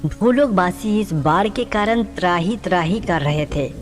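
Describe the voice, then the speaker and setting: A woman's voice: lowish voice, lively intonation, unhurried. A woman reading scripted narration for a devotional story. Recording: long reverb, music underneath.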